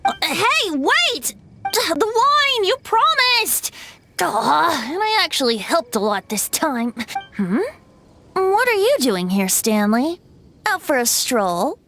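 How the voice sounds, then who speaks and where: A woman's voice: very high-pitched, big pitch swings, slow, background noise, heavily acted. A woman voicing a playful young bard in a video game, who speaks in a teasing, wry and musing way.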